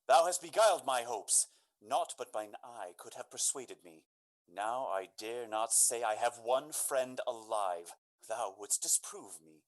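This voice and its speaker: An actor playing a noble, wounded young gentleman in a Shakespeare radio drama, declaiming his verse theatrically. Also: mid-range voice, animated, a little slow, natural voice, rough recording. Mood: outraged.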